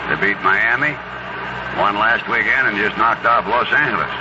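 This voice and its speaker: A man calling baseball play-by-play on the radio in a conversational way, with clipped phrases and pauses. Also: lowish voice. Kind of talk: live radio baseball commentary. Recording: noisy dull 1970s AM radio broadcast.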